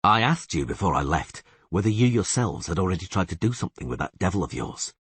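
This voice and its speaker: Audiobook hero: gruff male warrior read by a male narrator, low register, cold, curt, commanding. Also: big pitch swings, fast, fairly bright tone, no room sound, exaggerated voice. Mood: cold, demanding. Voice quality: low, growling. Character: gruff, irritated, stern male monster hunter. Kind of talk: audiobook character acting.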